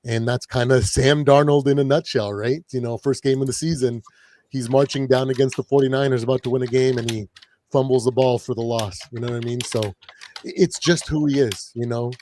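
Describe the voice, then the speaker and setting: A man with a raspy voice, worn from partying, chatting as a podcast guest in a rambling way with lots of fillers and restarts. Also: mid-range voice, fairly even intonation, brisk, darkish tone, a little hiss or hum. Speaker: guest, fan channel creator. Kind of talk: casual podcast chat.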